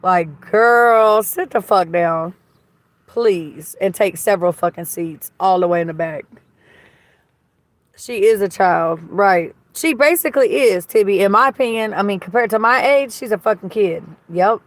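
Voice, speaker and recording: A woman with a slightly raspy voice hosting a livestream, chatting casually and reading viewer comments in a blunt, gossipy way that is full of swearing. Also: mid-range voice, measured pace, dry recording. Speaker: livestream host.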